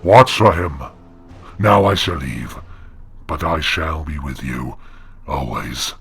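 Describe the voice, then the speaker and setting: A man voicing a wrathful, commanding angel in an audio drama, with a metallic, inhuman, gravelly voice and solemn, archaic prophetic delivery. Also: rumbling low, slow pace, a bit bright, faint music behind, exaggerated voice. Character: wrathful, commanding male angel possessing a man's body. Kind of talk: creepypasta-style audio drama.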